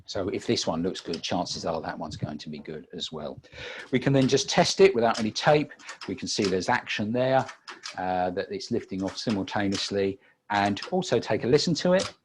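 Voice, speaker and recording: A British man giving an unscripted technical demonstration as a webinar presenter, halting often with repeated words and filled pauses. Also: on the low side, animated, slight background noise. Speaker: webinar presenter and audio engineer.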